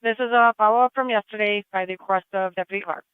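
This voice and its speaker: An American woman answers as a dispatcher over a thin, phone-like radio channel. She speaks extremely fast in brisk, short replies. Her voice is very clean and dry. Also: mid-range voice, steady tone, clear, resonant tone, dry recording. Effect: two-way radio transmission. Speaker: county dispatcher.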